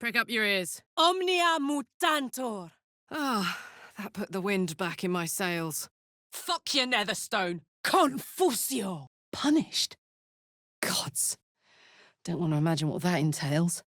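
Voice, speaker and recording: A woman voicing a brash, warm-hearted tiefling warrior in a video game, with blunt, earthy soldierly talk. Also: medium pitch, lively intonation, slow, dry recording, studio quality. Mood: brisk. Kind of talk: video game character voice acting.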